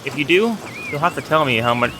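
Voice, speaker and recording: A man's voice: a little high, lively intonation, quick pace, noisy background, lightly acted. A man voicing a friendly, humble village miller in a video game, chatting in a folksy way about old legends. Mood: friendly, musing. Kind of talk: reading video game dialogue aloud.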